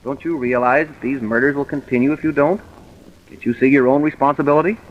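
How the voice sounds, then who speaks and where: An actor playing a stern, insistent police commissioner with deliberate authority, in old-time radio drama style. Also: male voice, medium pitch, lightly acted. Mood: insistent, pressing. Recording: dull, hissy vintage radio recording.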